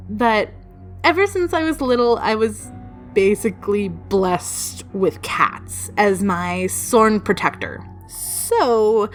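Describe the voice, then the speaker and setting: A woman telling personal stories casually in a chatty, rambling, playfully emphatic way. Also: a little high. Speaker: storytelling video creator. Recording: music behind the voice. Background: background music.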